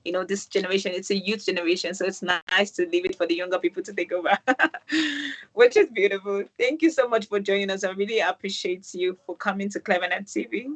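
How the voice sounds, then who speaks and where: A woman's voice: mid-range voice, fairly quick. A woman, from Manchester, hosting an interview show and effusively praising her guest. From England, Manchester. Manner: effusive, keeps calling things beautiful, many "um"s. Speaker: host. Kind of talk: interview host wrap-up.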